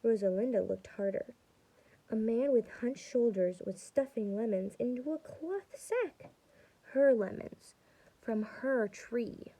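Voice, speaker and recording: A young adult woman reading a storybook aloud to children as a student, expressive and pausing to explain things. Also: fairly high pitch, low-quality recording. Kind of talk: storybook read-aloud with teaching asides.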